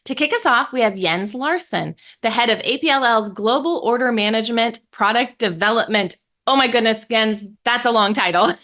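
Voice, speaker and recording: A woman's voice: on the high side, normal speed, bright, forceful tone. A woman hosting a podcast interview, upbeat and chatty, laughing easily. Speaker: podcast host.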